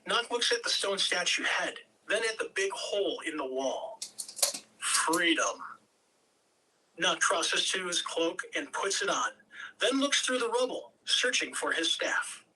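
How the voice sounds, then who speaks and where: Bright, slow-paced dramatic script reader. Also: mid-range voice, very animated. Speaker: script reader at a table read. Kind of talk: expressive amateur reading of screenplay directions. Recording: distant home mic, background noise.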